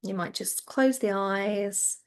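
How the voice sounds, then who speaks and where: A woman teaching yoga nidra, guiding listeners into relaxation in a gentle, reassuring, informal way. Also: medium pitch, slow pace. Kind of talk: guided relaxation instruction.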